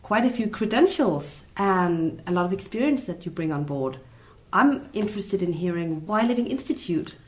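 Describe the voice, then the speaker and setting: A woman's voice: lowish voice, expressive, normal speed. A woman hosting a business podcast, asking questions in a polite, upbeat way. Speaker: podcast host. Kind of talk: scripted podcast interview.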